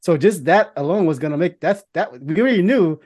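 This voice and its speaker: A man with a somewhat high-pitched voice chats as a podcast co-host. His talk is informal and rambling. He stops and restarts his sentences and throws in the odd swear word. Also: lively intonation, fast, darkish tone. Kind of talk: casual podcast chat.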